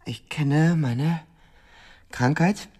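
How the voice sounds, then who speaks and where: An actor playing an agitated, self-absorbed male patient in an institution, halting and formal, pleading in a radio drama. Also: a little high, dark tone, husky, full character voice. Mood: earnest, intense.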